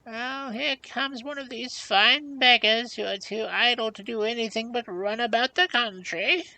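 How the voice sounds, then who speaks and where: A woman's voice: fairly high pitch, a little slow, bright, forceful tone, dry recording, pitched above the performer's own voice, poor audio. A woman voicing a miserly old hag in a storybook, grumbling, sarcastic and mocking as she haggles. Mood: grim, scornful. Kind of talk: storybook character voice.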